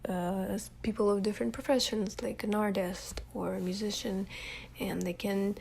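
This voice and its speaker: A young woman with a Russian accent, hosting a casual podcast monologue while she has a cold, talking softly and hesitantly and often dropping into a breathy whisper. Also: mid-range voice, steady tone, normal speed, a little hiss or hum. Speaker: ASMR channel host.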